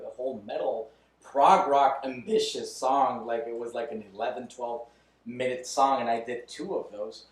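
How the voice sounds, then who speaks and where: A man in his thirties talking loosely and candidly as a podcast guest, chuckling between thoughts. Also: medium pitch. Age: 30s. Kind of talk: casual interview chat. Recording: roomy echo, mic at a distance.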